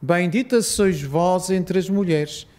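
A man with a European Portuguese accent leading a rosary, reciting solemn prayers in a measured, reverent way. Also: high voice, a little mellow.

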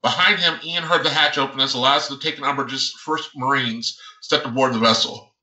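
Bright, forceful man reading science fiction aloud. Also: medium pitch, fairly even intonation, fairly quick. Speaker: author reading own book. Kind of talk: audiobook-style narration of a science fiction novel. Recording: roomy, echoing sound.

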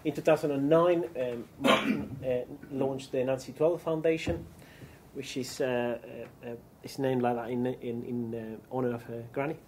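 A man's voice: mid-range voice, steady tone, normal speed, noisy background. A man from Chile with a Chilean accent, giving a keynote business presentation with frequent ums and restarts. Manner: hesitant, many ums and restarts. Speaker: keynote speaker.

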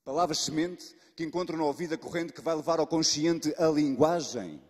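Husky male slam poet in a reverberant hall. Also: fairly high pitch, a little fast. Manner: dramatic, with repeated words and sudden shifts from loud to hushed. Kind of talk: slam poetry performance. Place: live stage in a reverberant hall. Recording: reverberant hall, live stage.

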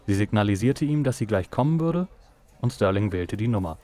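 A man's voice: fairly low pitch, expressive, fairly quick, dark tone. A man reading scripted narration for an audio drama. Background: music.

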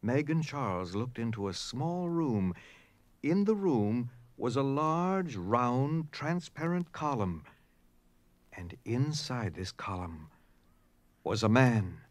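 A man's voice: mid-range voice, big pitch swings, takes it slowly, dry recording. A man narrating a scripted story for an audio dramatization in a dramatic style. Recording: clear background noise and ambience.

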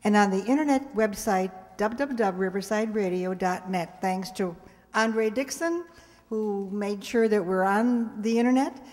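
A woman's voice: medium pitch, unhurried, darkish tone. A woman in her sixties giving semi-scripted thanks and announcements to an audience from a stage. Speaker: member of a radio players group giving closing announcements. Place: stage of a community hall. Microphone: stand microphone on stage, recorded by audience camera. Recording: echoey hall sound.

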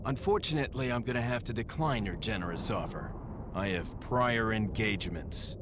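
An actor playing a cool, defiant young American action hero in a video game, with a terse, wry delivery. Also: male voice, on the low side, normal speed, close to an everyday voice. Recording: game audio under loud background noise.

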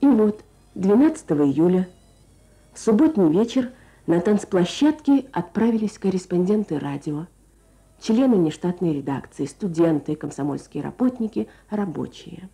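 A woman journalist presenting a scripted Soviet radio programme in a measured, lyrical, formal manner. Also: lowish voice, lively intonation, dark tone, low-quality recording. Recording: archival Soviet radio broadcast.

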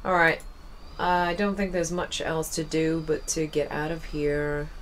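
A woman's voice: low-pitched, level tone, a little slow, a little hiss or hum. A young woman with a Swedish accent, casually commenting while playing a game, chatty and rambling with wry asides. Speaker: let's play host.

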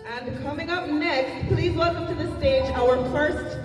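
A woman's voice: high-pitched, measured pace, music in the background. A young woman emceeing on stage with a handheld mic, announcing the next act in an upbeat way. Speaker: event emcee. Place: large hall, on stage. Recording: echoey hall from far off.

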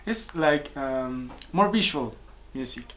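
A man's voice: medium pitch, expressive, a bit bright. Peruvian guy in his 20s, composer, keeps restarting sentences, small office, bit of hiss. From Peru. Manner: searching for words, halting restarts. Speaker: composer and musician. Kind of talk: casual interview answers. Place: small office with pictures on walls.

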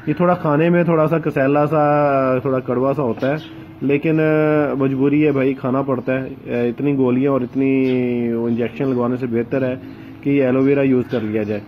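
A man hosting a gardening vlog, talking casually outdoors among potted plants and pausing often with filler sounds, recorded on a handheld phone. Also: mid-range voice, steady tone, lo-fi sound, a little hiss or hum. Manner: hesitant, many filler sounds and pauses. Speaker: gardening channel host.

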